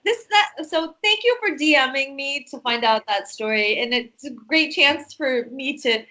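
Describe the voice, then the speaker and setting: Woman host, high and bright, giggly chatty storyteller, call recording. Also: expressive, measured pace. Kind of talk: casual chat with a friend.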